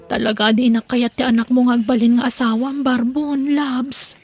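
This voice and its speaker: A woman's voice: fairly high pitch, flat delivery, normal speed, darkish tone, slightly rough, soft background music. A woman playing a complaining, put-upon sweetheart of an older man in an Ilocano comedy audio drama, plaintive, with an Ilocano accent. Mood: indignant.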